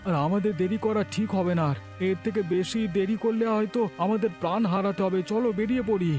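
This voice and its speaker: A man's voice: sits high, steady tone, quick pace, a little mellow, lightly acted. A man playing a righteous villager in a cartoon moral story, scolding yet merciful, with an earnest, emphatic delivery. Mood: urgent. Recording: music behind the voice. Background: background music.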